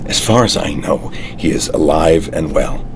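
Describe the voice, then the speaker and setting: Grave, low-voiced middle-aged family man. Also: gravelly, background noise, heavily acted. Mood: grave insistence. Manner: deliberate, insistent, halting. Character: grave, secretive middle-aged husband and father, evasive liar. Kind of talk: acted table read of a TV drama script.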